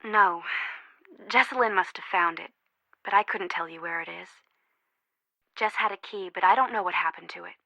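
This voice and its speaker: A woman's voice: lowish voice, normal speed, vocal fry, pauses often, sharp tone, dry recording, exaggerated voice, poor audio. An actress playing a worried, guarded young woman in a video game, friend to a missing girl. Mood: wary, uneasy.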